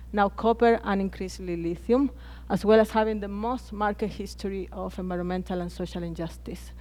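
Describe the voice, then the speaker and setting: A woman's voice: lowish voice, a little mellow. A Chilean woman whose first language is Spanish, speaking on a conference panel with frequent hesitations and restarted words. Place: conference plenary hall.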